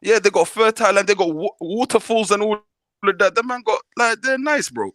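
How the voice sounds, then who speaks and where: A man's voice: sits high, lively intonation, fairly quick, a bit bright. A man from northern Somalia, hosting a live panel debate, talking in street slang and repeating himself to drive a point home. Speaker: podcast host.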